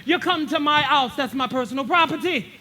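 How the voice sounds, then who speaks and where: A man's voice: extremely high pitch, a little fast, bright, forceful tone, heavily acted. A man voicing an angry, jealous boyfriend with a Jamaican accent in a confrontational stage monologue. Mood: indignant, mocking. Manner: confrontational, indignant.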